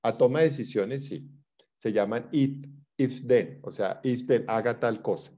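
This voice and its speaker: A man's voice: mid-range voice, measured pace, mellow, lo-fi sound. A man teaching a class informally, explaining by rephrasing and giving examples. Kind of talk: informal spoken lesson to a class.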